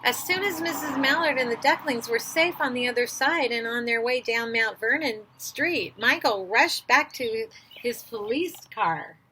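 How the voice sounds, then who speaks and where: Higher-voiced woman reading aloud amid noisy birdsong. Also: measured pace, sharp tone. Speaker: storybook reader. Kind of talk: reading a children's picture book aloud, with asides to listeners. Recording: noisy recording with chirping birdsong.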